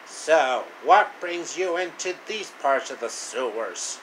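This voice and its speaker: A man's voice: a little high, takes it slowly, low-quality recording. A man voicing a hospitable, wisecracking sewer rat in a storybook parody, with a chummy drawl. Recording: steady air-conditioning noise.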